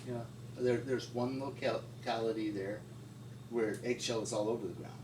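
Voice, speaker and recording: A middle-aged man reminiscing informally as an interviewee, in a halting, folksy way. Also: mid-range voice, steady tone. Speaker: paleontologist interviewee. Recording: distant mic, echoey room, loud noise.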